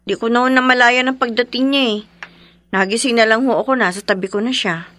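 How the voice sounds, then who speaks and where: A woman's voice: on the high side, fairly quick, a bit bright, lightly acted. A woman playing a dutiful, anxious young married daughter in a horror radio drama, speaking expressively. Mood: respectful.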